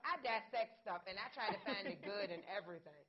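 A woman's voice: medium pitch, animated, fairly quick. A young woman co-hosting a casual studio talk show, blunt and joking in a plain-spoken way. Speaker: co-host. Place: TV studio set, indoors. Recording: dull lo-fi broadcast sound.

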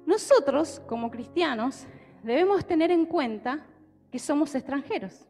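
A young Argentine woman preaches into a handheld mic, her high voice swooping up and down as she talks with her listeners. Her words ring out with the long reverb of a church hall, with soft music faint behind her. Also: a little slow. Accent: Argentine Spanish. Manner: lively and conversational, often checking with listeners. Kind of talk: sermon with Bible reading. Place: church hall with a stage, indoors.

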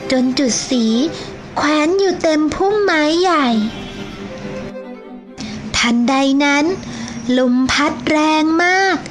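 A woman teacher reads a storybook aloud to children in a high, sweet voice. She takes her time, pacing each phrase gently, with soft music playing underneath. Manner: slow, gentle and clearly paced for children.

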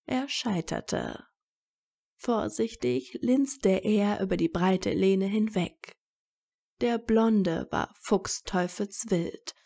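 A woman's voice: medium pitch, very slow, gravelly, warm tone. A woman reading scripted story narration as the narrator of an audio fiction.

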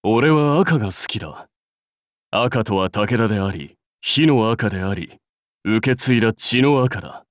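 An actor playing a proud, brusque warlord for a mobile game, curt, commanding and self-assured in manner. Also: male voice, fairly low pitch, animated, unhurried, dry recording, studio quality. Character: proud, brusque warlord rider devoted to his clan. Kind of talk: Japanese mobile game character lines.

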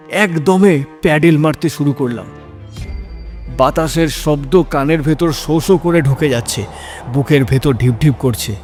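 A man's voice: a little high, a little mellow. A man narrating a scripted first-person horror story in a suspenseful, dramatic delivery. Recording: music behind the voice.

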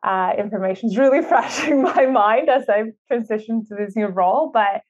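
A woman's voice: a little high, normal speed, darkish tone. A woman in her thirties, originally from Ecuador, answering interview questions in a chatty way with many fillers, speaking over a video call. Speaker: city sustainability official, podcast guest. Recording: tinny phone-like video call audio.

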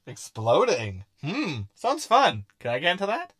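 Man playing a cheeky, animated space crewman. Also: sits high, a little slow, over music, exaggerated voice. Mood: eager. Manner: sarcastic. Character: cheeky, cynical crewman fond of explosions. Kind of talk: sci-fi comedy audio drama acting.

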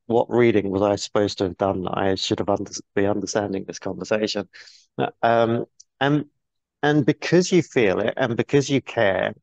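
A man's voice: fairly low pitch, gravelly, no room sound. A man, a guest speaking candidly in a casual interview over a video call, rambling a little with restarts. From born in New Zealand, grew up in the UK. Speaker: guest, business board coach. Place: small bright room, indoors. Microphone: earphone mic on a video call. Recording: dull dry video call audio.

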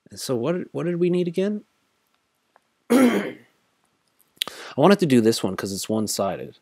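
A man's voice: a little high, big pitch swings, unhurried, gravelly, darkish tone, slightly rough, no echo, clean studio sound. Tired man rambling through a tutorial. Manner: rambling, thinks aloud with many fillers. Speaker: crypto tutorial host. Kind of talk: casual screen-recorded walkthrough.

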